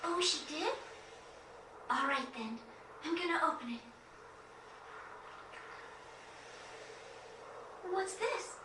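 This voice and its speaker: A woman playing an earnest, gentle young girl in a video game cutscene. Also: sits high, expressive, unhurried. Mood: curious. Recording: echoey, distant, noisy game capture.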